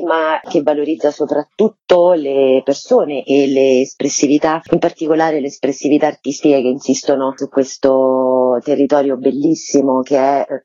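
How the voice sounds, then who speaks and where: Husky, dark-voiced woman, dull audio. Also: low voice, no room sound. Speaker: festival curator being interviewed. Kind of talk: fluent, formal explanatory interview answers. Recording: dull, lo-fi dry interview line.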